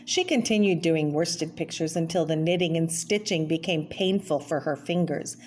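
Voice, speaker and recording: A woman's voice: low voice, moderate pace, a little mellow. A woman reading a children's picture book aloud, explaining the pictures and addressing the listener with small asides. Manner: explanatory, pointing out pictures and asking the listener questions. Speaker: read-aloud storyteller. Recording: clear background noise.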